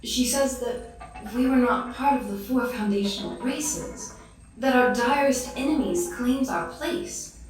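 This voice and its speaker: A woman's voice: fairly high pitch, a little slow, lightly acted. A woman playing an earnest, devout noblewoman in a fantasy audio drama, formal and emotionally fraught. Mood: troubled. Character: troubled noble clockwork lady, devout and earnest. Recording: heavy echo, distant, music underneath.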